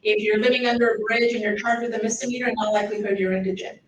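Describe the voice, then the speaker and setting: A middle-aged woman from Mexico speaks English in a slightly high voice, earnest and persuasive. She is a public defender at a podium taking questions. She sounds echoey and far from the mic, with faint room noise. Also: steady tone, rapid-fire, highs cut off. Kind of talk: public speech and audience Q&A.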